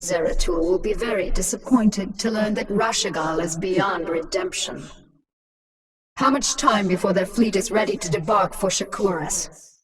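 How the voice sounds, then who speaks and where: A woman's voice: medium pitch, moderate pace, lightly acted. Woman playing an imperious alien queen, slightly distant. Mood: cold, commanding. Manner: commanding, taunting, theatrical. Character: imperious, cruel alien swarm queen, coldly gloating. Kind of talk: video game voice acting.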